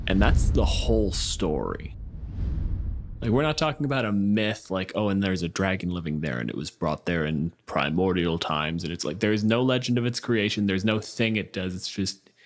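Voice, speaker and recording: A man from Canada co-hosts a podcast in a fairly low voice. He talks casually, mixing explanation with banter among friends. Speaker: podcast co-host.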